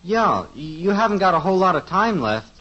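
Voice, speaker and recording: An actor playing a pushy, wisecracking teenage son in a 1950s radio sitcom, on a dull lo-fi old radio recording. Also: male voice, sits high, big pitch swings, a little fast, sharp tone, heavily acted. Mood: urgent.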